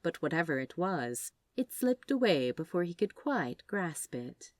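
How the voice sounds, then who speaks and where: A woman reading a story aloud as narrator, in an expressive scripted style. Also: fairly low pitch, unhurried, dry recording, clean studio sound. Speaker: fan fiction narrator.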